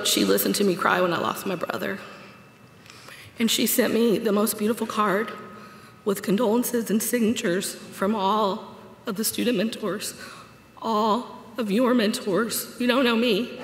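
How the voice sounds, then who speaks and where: A woman's voice: mid-range voice, slight background noise. A woman in her forties giving a graduate's commencement speech at a podium, earnest and personal with touches of humor. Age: 40s. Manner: earnest, personal, read from notes with light humor. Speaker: graduate speaker. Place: large auditorium stage at a podium. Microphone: podium microphone. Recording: echoey hall with long reverb.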